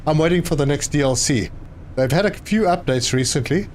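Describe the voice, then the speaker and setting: A man, live streaming a game and chatting with viewers, often pausing with fillers. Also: fairly high pitch, lively intonation, a little hiss or hum. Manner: chatty, reads chat aloud, hesitant with fillers. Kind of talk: casual live stream commentary.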